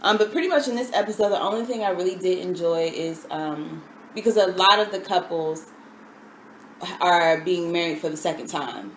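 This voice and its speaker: A woman with a lowish voice chats casually about television, filling pauses with ums and stretching her phrases. Her words bounce around an echoey room, with a faint hiss of noise underneath. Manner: relaxed, many ums, drawn-out phrasing. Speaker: co-host. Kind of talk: casual TV recap chat.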